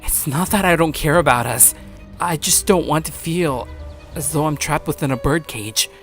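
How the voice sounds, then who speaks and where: A man's voice: on the high side, normal speed, a bit bright, faint music behind. Man acting an earnest, nervous teenage schoolboy. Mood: pleading. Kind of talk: first-person narrated anime-style audio drama.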